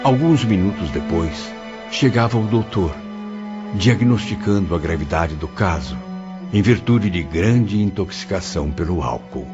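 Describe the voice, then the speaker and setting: A man's voice: deep voice, expressive, unhurried, dull audio. A man narrating an audio drama in a formal, solemn, scripted way. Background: music.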